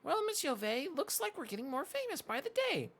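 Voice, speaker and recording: Small fairy companion for a game, voiced by a streamer: very high, cheeky, eager, childlike sidekick energy. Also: sing-song, fairly quick, fairly bright tone, exaggerated voice. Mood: curious, cheeky. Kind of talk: reading video game dialogue aloud.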